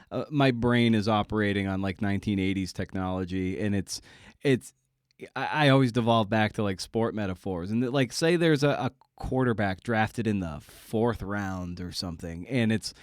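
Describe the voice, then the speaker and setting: An American man hosting a casual conversational interview, speaking in a halting way and often repeating words as he works toward his point. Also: on the low side, no echo, clean studio sound. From Massachusetts, United States. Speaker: podcast host interviewing a writer.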